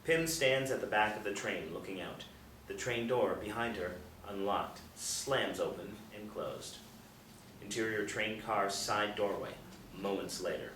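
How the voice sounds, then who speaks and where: Lowish male script narrator, echoey room. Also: a little slow, a bit bright. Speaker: narrator reading stage directions. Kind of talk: scripted narration at a table read. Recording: echoey room, distant, faint noise.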